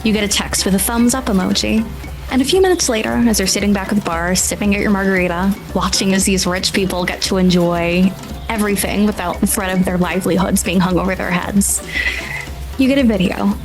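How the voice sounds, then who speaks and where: A woman's voice: mid-range voice, steady tone, a little fast, rough recording. Woman narrating a game over music. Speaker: game master. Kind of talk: scripted-sounding atmospheric tabletop narration.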